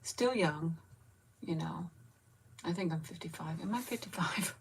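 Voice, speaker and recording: A woman in her fifties chatting candidly with viewers, grieving and close to tears, rambling with soft laughs and trailing pauses. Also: lowish voice, a little hiss or hum. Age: 50s. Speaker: vlogger chatting with live viewers. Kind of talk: casual live chat.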